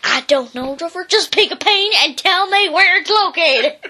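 Woman doing a pompous male ranch dog, commanding, army talk, bright voice. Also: very high-pitched, animated, normal speed, pitched above the performer's own voice, full character voice. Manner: mock-military commands. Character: self-important male ranch dog, bossy.

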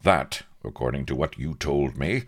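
A man performs a noble young sea captain from a comic adventure storybook. He declaims in pompous archaic phrases, cool and dauntless, with big sweeping inflections and a slightly gravelly edge. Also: extremely low pitch, animated, moderate pace, heavily acted. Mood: cool, lofty. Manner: grandiloquent mock-archaic speech, stern and cool.

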